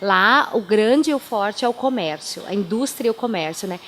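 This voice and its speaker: A woman's voice: mid-range voice, lively intonation, moderate pace, a bit bright, a little hiss or hum. A woman from southern Brazil whose first language is German, a pastor telling her life story in a radio interview, with a chatty, anecdotal way of talking and frequent tag questions. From Timbó, southern Brazil.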